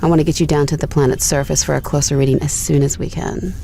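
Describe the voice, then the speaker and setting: A woman's voice: low-pitched, fairly even intonation, quick pace, vocal fry, mellow, a little hiss or hum. A woman playing a wry, restless young space explorer in a sci-fi audio drama, casual and teasing. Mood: wry, brisk.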